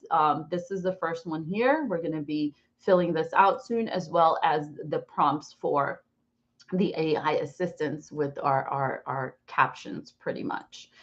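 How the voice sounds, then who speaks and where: Muffled, low-voiced American woman teaching online. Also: measured pace. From born in Brazil, raised in New Jersey, US. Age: 40s. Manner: chatty, rambling, expressive. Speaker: online course instructor. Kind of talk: informal live online class.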